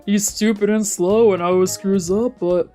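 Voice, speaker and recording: A person reading the part of a gruff, fond older male gang boss in a visual novel. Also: medium pitch, steady tone, moderate pace, dark tone, soft background music, close to an everyday voice. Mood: fond, amused.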